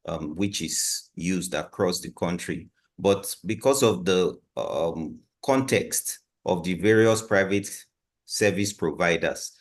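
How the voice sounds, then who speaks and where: A man, a health program presenter answering webinar questions on a video call in a measured, explanatory way with frequent ums. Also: sits low.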